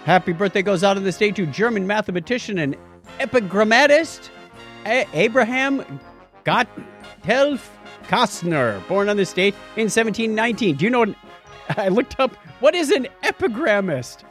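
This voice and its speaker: A man's voice: high-pitched, big pitch swings, normal speed, a bit bright, faint music behind. A man co-hosting a podcast, mixing casual banter with read-out items and jokey wordplay asides. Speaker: podcast co-host. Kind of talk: casual banter mixed with reading listener mail and history items.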